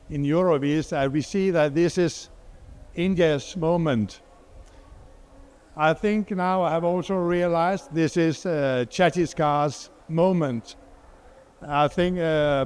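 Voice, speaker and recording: A middle-aged man from Denmark presents at a conference in English, his second language. His voice sits a little high for a man and comes across clean and resonant. He talks hesitantly, stopping often for fillers and restarting his sentences. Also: a little mellow, clean tone. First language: Danish. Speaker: guest speaker, water expert.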